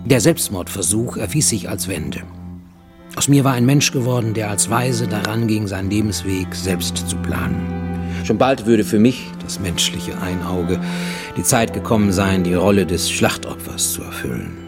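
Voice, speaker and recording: An actor playing a brooding Japanese man on the run, confessing in a husky, intense radio play monologue that drops to near-whispers. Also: on the low side, normal speed, loud music behind the voice, lightly acted. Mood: brooding, determined.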